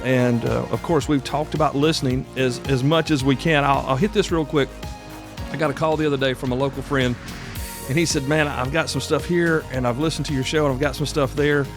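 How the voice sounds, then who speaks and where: A man's voice: medium pitch, fairly even intonation, brisk, music in the background. An American man of about fifty hosting a podcast solo, talking casually in a folksy, rambling, reassuring way. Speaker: podcast host.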